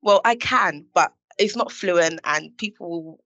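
Gravelly-voiced woman, self-deprecating. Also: lowish voice, takes it slowly, a bit bright, no room sound, highs cut off. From United Kingdom. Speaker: panel guest. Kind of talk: conversational podcast discussion.

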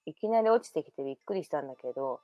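Woman acting a low-voiced girl revealing a past life, confiding tone, dry close sound. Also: expressive, rapid-fire, no echo, highs cut off, faint music behind. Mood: mysterious. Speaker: radio drama performer. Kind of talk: amateur radio drama acting.

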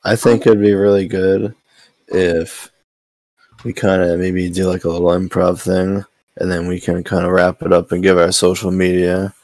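A man's voice: low voice, flat delivery, normal speed, darkish tone, no room sound. A man on a comedy podcast, chatting casually and telling stories in a joking way. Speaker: comedy podcast guest or co-host.

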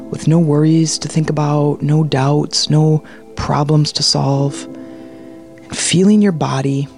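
A meditation guide speaking in a soothing, hushed manner, leading a guided relaxation. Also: mid-range voice, vocal fry. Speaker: meditation guide, podcast host.

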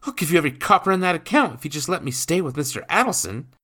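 A man's voice: fairly high pitch, sing-song, fast, bright, forceful tone, breathy edge, voice pushed up, exaggerated voice. A man voicing a frightened young boy who pleads to stay. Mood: pleading.

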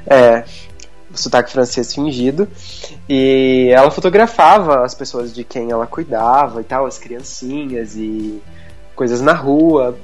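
A man's voice: mid-range voice, a little slow, highs cut off, faint music behind. A Brazilian man co-hosting a podcast, chatting in an informal, joking manner. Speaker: podcast co-host. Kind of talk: casual chat.

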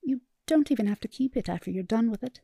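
An eager male robot therapist, as a woman reading puts him on, bright and encouraging through gritted politeness. The voice pushes higher and louder than her narration, with a gravelly edge. Dry, close recording. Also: lively intonation, fast, no room sound, heavily acted.

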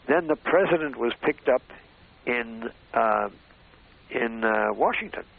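A man reminiscing off the cuff in a hesitant, halting way, groping for words. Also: mid-range voice, expressive, creaky. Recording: phone-like, band-limited radio sound.

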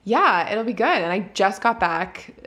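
Chatty woman, slightly raspy. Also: mid-range voice, expressive, measured pace, bright, forceful tone. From Ontario, Canada. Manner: chatty, laughs often, lots of "like". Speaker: podcast co-host. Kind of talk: casual chat.

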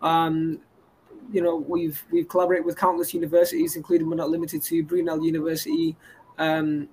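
A man's voice: a little high, monotone, a little fast, a little mellow, breathy edge, rough recording. A man, a guest rambling through his business credentials in a casual interview. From England. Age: 20s. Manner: boastful and rambling, stacks up credentials. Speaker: guest entrepreneur.

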